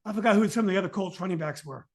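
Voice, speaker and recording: A man's voice: high-pitched, racing. A man hosting a podcast interview, asking long, rambling questions full of fillers and restarts. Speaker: podcast host.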